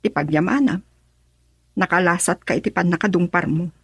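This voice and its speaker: A woman's voice: fairly low pitch, moderate pace, breathy edge, no room sound, clean studio sound. An actress with an Ilocano accent playing a blunt, reproachful woman in a radio drama. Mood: firm, matter-of-fact.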